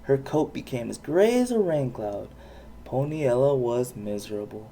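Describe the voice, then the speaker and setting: A parent reading a children's storybook aloud with expressive narration. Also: medium pitch, measured pace, a little hiss or hum.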